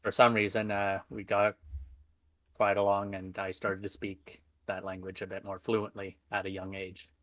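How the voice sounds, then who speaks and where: A man's voice: fairly low pitch, level tone, normal speed, fairly bright tone, no echo. A man chatting casually as a guest, with dry, matter-of-fact humor. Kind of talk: casual chat.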